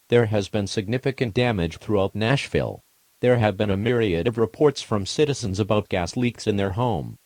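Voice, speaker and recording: Casting: man playing impersonal emergency broadcast announcer, lowish voice, dry close sound, measured formal reading. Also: darkish tone, no echo, natural voice.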